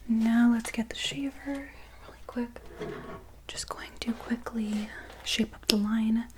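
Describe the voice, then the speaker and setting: A woman speaking in a very soft, breathy whisper, gently and soothingly reassuring as in an ASMR personal-attention roleplay. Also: fairly high pitch, fairly even intonation, takes it slowly, noisy background. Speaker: ASMR creator playing a barber.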